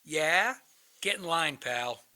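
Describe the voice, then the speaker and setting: A man's voice: fairly high pitch, animated, slow pace, sharp tone, exaggerated voice. An actor playing a bitter, sardonic man in a radio play, blunt and sarcastic. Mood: scornful, bitter. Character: bitter, sardonic man, crisis counselor posing as suicidal caller.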